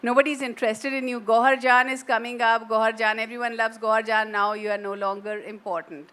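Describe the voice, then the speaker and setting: A woman with a North Indian English accent speaks in a raised, bright register, circling her thoughts and restarting often. She talks as an author on a literary festival panel. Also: a little high, little pitch movement, fairly quick, clean tone. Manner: thoughtful, digressive, many restarts. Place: festival stage.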